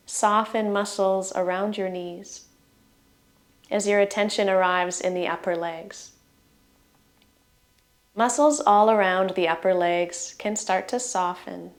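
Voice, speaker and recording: A woman guides a relaxation meditation at a very slow, unhurried pace. Her mid-pitched voice gives gentle, soothing instructions in short phrases, letting the ends trail away.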